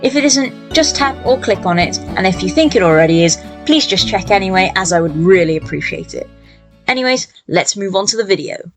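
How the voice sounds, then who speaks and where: A woman's voice: mid-range voice, expressive, brisk, soft background music. A woman narrating a story for children as the host of a read-aloud show.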